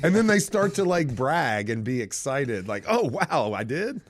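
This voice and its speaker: A middle-aged man hosting a radio talk show in a studio, chatting casually with animated storytelling. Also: measured pace. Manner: animated, lively storytelling with big pitch swings. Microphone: studio microphone.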